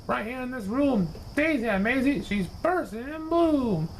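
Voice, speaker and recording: A man voicing an excitable, outspoken schoolboy in a children's storybook, exclaiming and insistent. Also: very high voice, normal speed, a little hiss or hum, poor audio. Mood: amazed.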